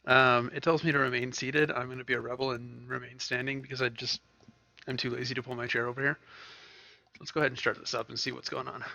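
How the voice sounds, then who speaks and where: A man's voice: medium pitch, level tone, fast, creaky, sharp tone. A man giving casual commentary as host of a gaming video.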